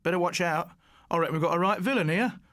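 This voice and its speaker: A man's voice: high-pitched, fast talker, fairly bright tone, dry recording, studio quality, voice pushed up. A man voicing a sneering, bossy schoolboy bully in an audiobook ghost story. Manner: taunting, bossy. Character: cocky sneering schoolboy bully, mockingly jovial.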